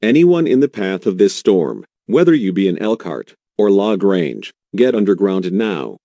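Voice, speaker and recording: A man acting as a grave TV weatherman in a live storm broadcast. His voice is low and mellow, recorded close and dry, and he speaks with solemn, imploring earnestness. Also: expressive, normal speed, creaky, no room sound, lo-fi sound. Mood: urgent, pleading. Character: grave, pleading male TV meteorologist covering a tornado outbreak. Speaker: TV weather forecaster.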